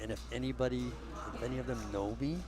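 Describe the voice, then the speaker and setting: A man's voice: mid-range voice, fairly even intonation, quick pace. A man chatting as a guest on a live poker stream, rambling, teasing and self-deprecating. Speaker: guest co-commentator. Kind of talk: casual banter on a live stream. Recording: noisy crowd at a live event.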